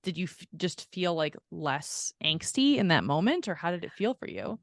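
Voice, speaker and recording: A woman's voice: on the low side, big pitch swings, moderate pace, dry recording, clean studio sound. A woman co-hosting a podcast in casual chat with a friend, gushing and affirming, rambling in a giggly, self-deprecating way. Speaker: podcast co-host.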